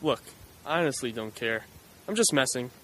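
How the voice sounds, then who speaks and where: A man's voice: mid-range voice, lively intonation, normal speed, a bit bright, natural voice, rough recording. A man playing a flippant, teasing teenage buddy in an audio drama, with a slangy, offhand manner. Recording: faint background noise.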